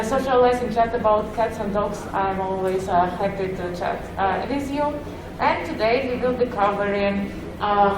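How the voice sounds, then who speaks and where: A woman's voice: fairly high pitch, background noise. A woman from Ukraine with a Ukrainian accent and a slightly muffled voice, giving a conference talk in a friendly way with many "uh" fillers. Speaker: conference speaker. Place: conference session room. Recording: echoey hall, heard from a distance.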